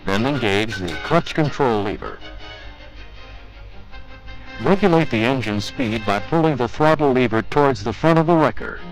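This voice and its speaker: Man, mid-pitched voice, formal scripted instructional narration, methodical delivery, faint music, 1980s training film soundtrack. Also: lively intonation, moderate pace, pauses often, rough recording.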